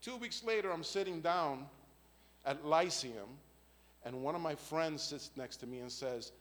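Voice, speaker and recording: A man's voice: a little high, measured pace, fairly bright tone, long reverb tail. A man, giving an earnest spoken faith testimony and often checking in with "right?" and "okay?". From the Bronx, New York. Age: 50s.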